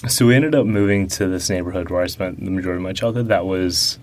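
Low-voiced man, halting and reflective. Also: darkish tone, a little hiss or hum. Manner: hesitant, many fillers and restarts. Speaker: interviewee. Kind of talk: casual reflective interview.

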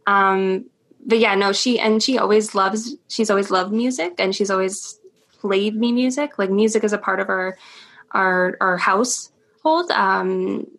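Chatty young woman with vocal fry. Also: medium pitch, a little slow, fairly bright tone. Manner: chatty, laughs often, many ums. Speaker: interview guest, stage performer. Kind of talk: casual interview chat.

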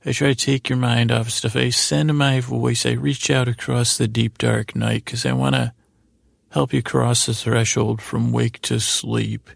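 A man's voice: mid-range voice, little pitch movement, unhurried, vocal fry, dry recording, clean studio sound. A man hosting a podcast with a meandering, hesitant bedtime monologue that is meant to lull. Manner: meandering, hesitant, self-interrupting. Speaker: podcast host. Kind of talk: rambling, lulling bedtime monologue.

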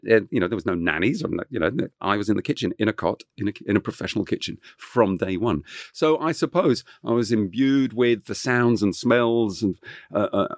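Middle-aged man, deep and low, dry recording; rambling storyteller guest with a French first language. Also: no room sound. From England (Kent). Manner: rambling, many fillers and restarts. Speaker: chef guest. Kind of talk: relaxed interview storytelling.

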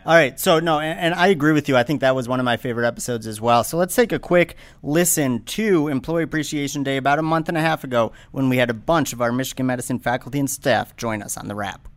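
A man hosting a workplace podcast, with a loud voice and an upbeat way of steering the chat. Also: mid-range voice, fast, few pauses. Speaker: podcast host.

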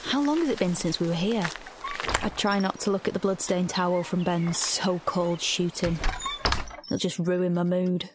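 A woman's voice: lowish voice, normal speed, background noise, lightly acted. A woman playing a wry, guarded seventeen-year-old girl in a naturalistic science fiction audio drama. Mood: reflective. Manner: wry, sardonic. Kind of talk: naturalistic audio drama acting with inner narration.

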